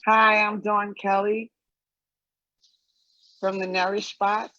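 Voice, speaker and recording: A woman's voice: mid-range voice, level tone, slow pace, sharp tone, no room sound. Dull-sounding recording of a middle-aged American woman. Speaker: guest speaker, small business owner. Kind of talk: semi-prepared personal introduction. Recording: dull, dry lo-fi audio.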